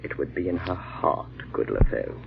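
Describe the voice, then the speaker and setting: An actor playing a ruthless, smug bandit leader and husband in an old-time radio drama, mocking and commanding. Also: male voice, sits low, expressive, normal speed, gravelly. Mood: scornful, dismissive. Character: ruthless, smug husband secretly leading a murderous bandit gang. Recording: muffled, noisy vintage radio recording.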